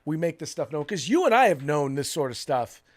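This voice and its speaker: A man's voice: a little high, sing-song, brisk. An American man co-hosting a political talk show, giving opinionated commentary in an emphatic way, repeating phrases for stress.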